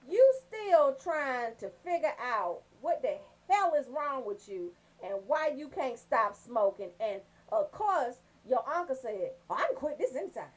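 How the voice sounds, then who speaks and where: An American woman hosting a comedic radio show, telling stories in an animated, folksy, profane way. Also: sits high, rough recording. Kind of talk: comedic radio banter and storytelling.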